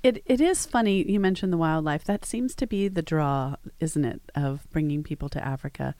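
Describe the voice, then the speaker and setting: A woman host with a slightly low voice leads a studio conversation. She asks friendly, prompting questions, and the sound is dry and close. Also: normal speed, mellow, dry recording. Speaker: interview host. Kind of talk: studio interview.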